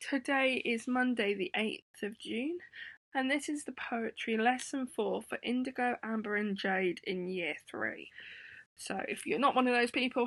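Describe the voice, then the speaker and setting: A woman in her thirties with an English accent, hoarse from a sore throat, gently teaching young children over a webcam with many ums. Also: medium pitch, no room sound. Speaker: primary school teacher. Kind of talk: remote lesson to children. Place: home room indoors. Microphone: laptop webcam.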